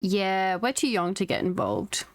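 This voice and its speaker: A woman's voice: mid-range voice, big pitch swings, measured pace, no echo, clean studio sound. A woman streaming a video game, giving casual live commentary.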